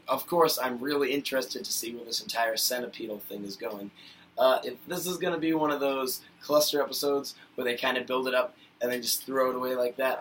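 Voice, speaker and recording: Chatty bright-voiced male vlogger. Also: mid-range voice, far from the mic, a little hiss or hum, poor audio. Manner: rambling and chatty with many fillers, gets worked up. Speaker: YouTube vlogger reviewing cartoon episodes. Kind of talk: casual rambling video review.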